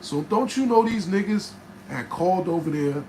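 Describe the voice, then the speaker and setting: A man from New Jersey, a rapper, telling a studio story in casual, profane style with a raspy voice, often asking "right?". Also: high voice, moderate pace. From New Jersey, USA. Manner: animated, profane, keeps checking in with "right?". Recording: noticeable background noise.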